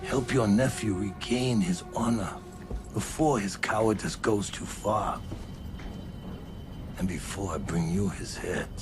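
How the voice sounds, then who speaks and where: A man acting a calm, calculating Mongol warlord in a video game cinematic, measured, persuasive and coolly threatening, with deliberate delivery. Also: on the low side, close to an everyday voice. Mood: calm menace. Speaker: villain. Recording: loud music under the voice.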